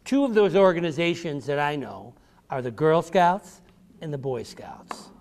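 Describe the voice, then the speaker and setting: Guy with a pretty high voice doing a kids history talk q&a, repeats things for them. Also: animated, unhurried, gravelly, darkish tone. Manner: addresses children directly, repeats questions and key phrases. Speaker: author giving a library talk to children. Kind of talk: children's educational talk with question and answer. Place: public library room with a group of children.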